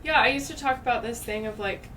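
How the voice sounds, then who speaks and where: A woman's voice: a little high, quick pace, sharp tone. A woman hosting a podcast in casual, reflective chat, thinking aloud with many fillers. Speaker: podcast host. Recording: distant mic, background noise.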